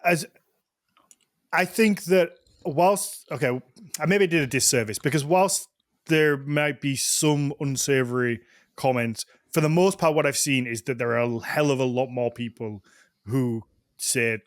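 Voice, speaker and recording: A man's voice: medium pitch, a little slow, no room sound, studio quality. A man co-hosting a podcast, chatting casually in a rambling way full of filler words and acted-out quotes. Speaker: podcast co-host. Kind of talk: casual chat.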